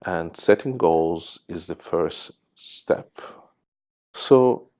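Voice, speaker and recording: A man narrating a guided meditation in measured, deliberate phrases with pauses. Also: deep voice, warm tone. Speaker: guided meditation host, hypnosis therapist.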